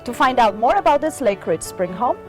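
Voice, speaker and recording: A woman's voice: on the high side, very animated, a little fast, darkish tone, faint music behind. Polish-accented woman reading house listings. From Poland. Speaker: real estate agent. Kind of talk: reading home listings on a radio show.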